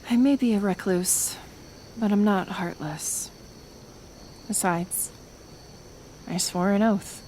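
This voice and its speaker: A woman acting a kind but stubborn reclusive healer in an ASMR roleplay, gentle yet firm, unhurried, with sighs and pauses. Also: mid-range voice, slight background noise, natural voice. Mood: calm, firm.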